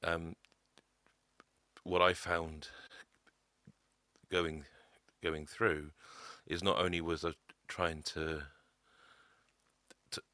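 Stammering man with a deep bright voice. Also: little pitch movement, no room sound. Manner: stammering, halting speech. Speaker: podcast guest. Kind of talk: personal testimony.

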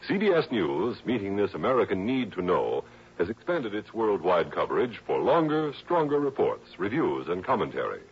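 A man's voice: on the low side, very animated, normal speed, slightly rough. A man reading a scripted 1960s radio network promo as an announcer. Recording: muffled old radio recording.

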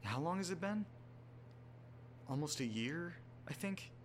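A man playing a muddled, self-deprecating guy muttering sweary, halting asides to himself in a horror audio drama. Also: on the high side, expressive. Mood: weary, self-berating. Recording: noticeable background noise with hum.

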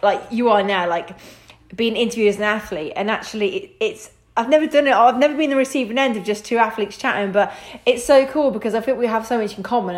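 A woman hosting a podcast interview, an athlete herself, asking rambling, enthusiastic questions. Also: fairly high pitch. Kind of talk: casual interview.

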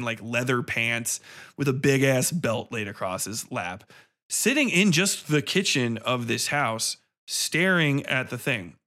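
A man's voice: mid-range voice, a bit bright. A man hosting a podcast, giving a casual, opinionated film review in a profane, incredulous manner.

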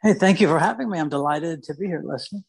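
Guy from mississippi, gravelly voice, slow thoughtful interview answers. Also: a little high. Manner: thoughtful, searching, many pauses and restarts. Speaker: guest artist. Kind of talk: reflective interview talk.

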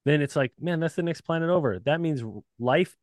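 A man's voice: on the high side, a little fast, darkish tone, no room sound, studio quality. A man chatting casually as a podcast guest, laid-back and profane, laughing often between thoughts. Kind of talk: casual chat.

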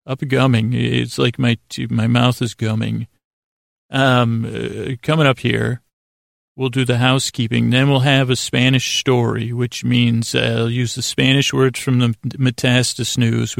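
Male bedtime podcast host: creaky mid-pitched voice, dry sound, soothing, meandering, goofy, forever rephrasing. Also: dry recording. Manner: meandering, halting, repeats and rephrases himself, gently goofy. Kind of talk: rambling, soothing bedtime monologue.

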